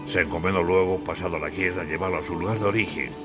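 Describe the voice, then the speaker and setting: A deep-voiced man narrates an audiobook. His delivery is solemn and literary, with a declamatory turn to his phrases. Music plays clearly behind him. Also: fairly even intonation, fast talker.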